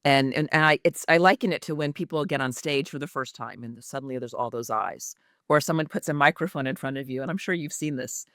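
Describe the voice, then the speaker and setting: A woman's voice: very deep voice, a little fast, a bit bright, dry recording, clean studio sound. A woman executive communication coach speaking as an interview guest in an earnest, reflective way.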